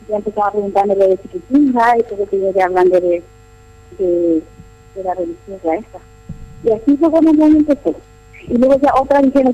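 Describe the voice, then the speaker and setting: Chatty middle aged nun, high pitched mellow voice, explaining things in an interview. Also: female voice, dull audio. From Paraguay. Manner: chatty, explanatory, repeats phrases. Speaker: nun, missionary at a boarding school. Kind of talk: casual interview answers.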